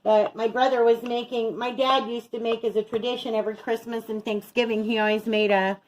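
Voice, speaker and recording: A woman's voice: a little high, little pitch movement, a little fast, rough recording. A middle-aged woman chatting casually as she narrates each step of her home cooking as a vlog host. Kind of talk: casual chat while cooking. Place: home kitchen.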